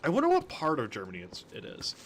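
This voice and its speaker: Casting: male gamer, very lively intonation, chatty and jokey, casual banter, some background noise. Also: on the high side. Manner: chatty, jokey, quick to chuckle. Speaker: gamer playing Minecraft with friends. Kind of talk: casual group game chat. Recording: noisy gaming voice chat.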